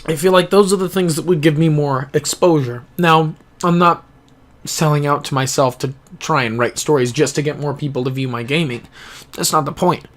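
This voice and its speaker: A man's voice: a little high, a bit bright. Earnest young man, rambling vlog. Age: young adult. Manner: earnest, reflective, with pauses and restarts. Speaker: gaming YouTuber. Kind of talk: rambling personal vlog monologue. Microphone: camera-mounted microphone, close.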